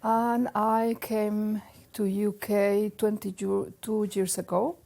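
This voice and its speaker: A woman's voice: mid-range voice, flat delivery, takes it slowly, creaky, warm tone. A woman from Mexico, giving brief, plain interview answers.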